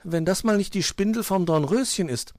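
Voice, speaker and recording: A man's voice: high voice, moderate pace, darkish tone, voice pushed up, lightly acted. A man voicing a small, eager little bird in a storybook. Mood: curious.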